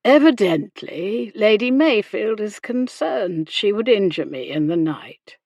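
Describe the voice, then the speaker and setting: A woman voicing a sardonic, commanding gentleman with a sarcastic, deliberate manner in a period romance audiobook. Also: fairly low pitch, expressive, dry recording, clean studio sound. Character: sardonic, commanding gentleman, injured, coolly provoking.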